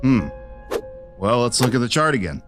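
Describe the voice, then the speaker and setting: A man's voice: medium pitch, big pitch swings, normal speed, fairly bright tone, soft background music. A man voicing a careless, cheerfully tactless cartoon doctor with a flippant, casual manner. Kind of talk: cartoon voice acting.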